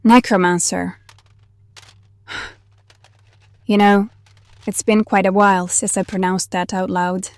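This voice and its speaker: A woman's voice: mid-range voice, level tone, takes it slowly, pauses often, no echo. A woman playing a protective, wistful half-banshee, half-necromancer outcast who speaks confidingly in an audio drama. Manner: calls the younger one honey, confiding.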